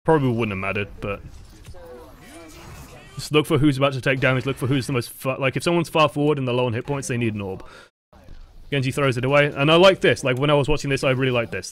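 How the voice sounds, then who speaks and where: Casual young male coach, mid-pitch voice, unhurried, chatty, a bit sweary. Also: a bit bright. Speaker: game coach reviewing footage. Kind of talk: casual gameplay commentary.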